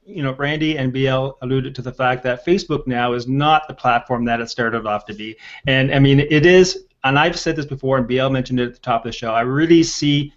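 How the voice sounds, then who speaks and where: An older man moderates a casual online talk show as host. His voice sits at a middle pitch, heard through slightly dull video call audio. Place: home room indoors on a video call.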